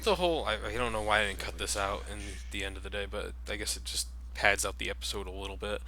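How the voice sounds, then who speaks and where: A man's voice: fairly low pitch, fast talker. A man giving casual, rambling, offhand commentary while playing a video game. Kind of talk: casual let's play commentary. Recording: loud game noise behind the voice. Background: video game sound.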